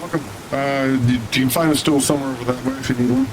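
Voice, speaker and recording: A man's voice: medium pitch, big pitch swings, quick pace, gravelly, noisy background. A man playing a dry, emphatic townsman in improvised fantasy roleplay in an online game. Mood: casual.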